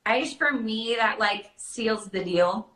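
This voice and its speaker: A woman's voice: a little high, slow, fairly bright tone. A young woman in casual conversation as a guest performer, talking in a hesitant, self-interrupting way. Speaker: princess party performer, guest. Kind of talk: casual chat. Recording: roomy, echoing sound.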